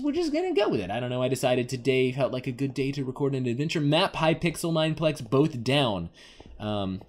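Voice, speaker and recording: A young man giving casual, playful gaming commentary, rambling to himself and to the game's characters. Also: medium pitch. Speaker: gaming video host. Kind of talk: casual Let's Play commentary on an adventure game.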